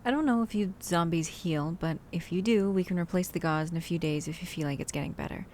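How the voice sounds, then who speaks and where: A woman's voice: fairly low pitch, fast talker, a little mellow, a little hiss or hum, natural voice. A woman playing a chatty, cautious zombie-apocalypse survivor in an ASMR roleplay audio drama, talking to the listener in rambling, hesitant asides. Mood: caring, practical.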